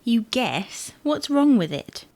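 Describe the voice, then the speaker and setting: A woman's voice: a little high, big pitch swings, takes it slowly, a little mellow, dry recording, full character voice. A woman playing a fussy, exasperated wife who bickers insistently in a domestic audio sitcom. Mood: indignant, anxious. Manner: bickering, insistent, theatrically indignant. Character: fussy, exasperated wife fretting over wedding clothes.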